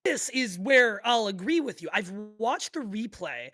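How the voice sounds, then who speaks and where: Casting: woman as lively, bright-voiced football-fan podcast co-host, amused and exasperated, casual and sweary. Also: a little high, measured pace, exaggerated voice. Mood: amused exasperation. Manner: conversational, amused, giggly, sweary. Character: animated, exasperated sports-fan co-host recounting an absurd endless football game. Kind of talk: scripted podcast table read as casual sports chat.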